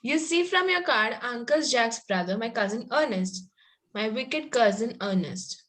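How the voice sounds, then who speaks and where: A woman's voice: a little high, animated, a little slow, fairly bright tone. A woman reading an earnest, precocious young Victorian lady in a comedy table read, formal with some stumbles over the script. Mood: prim, earnest.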